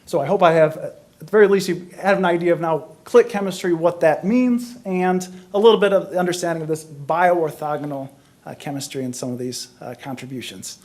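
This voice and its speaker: A man giving an explanatory academic science lecture as a panelist, speaking in a halting way as he searches for words. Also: a little high, darkish tone.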